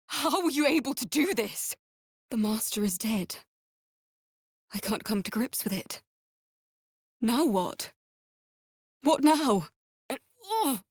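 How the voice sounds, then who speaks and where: Slow, solemn vampire spawn woman. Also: sits high, slightly rough, no echo, studio quality, exaggerated voice. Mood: shocked, distraught. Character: grave vampire spawn woman, weary servant yearning for freedom. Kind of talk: scripted fantasy game acting.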